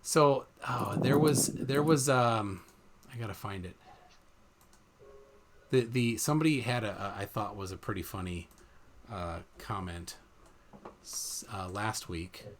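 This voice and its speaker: Halting man hosting a live chat. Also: lowish voice, slight background noise. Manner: halting, searching for words with many fillers. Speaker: host. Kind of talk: casual live-stream chat.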